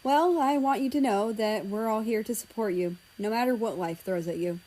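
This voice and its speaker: A woman voicing a polite, fatherly British man with a measured, courteous manner. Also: mid-range voice, mellow, close to an everyday voice, poor audio. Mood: kindly.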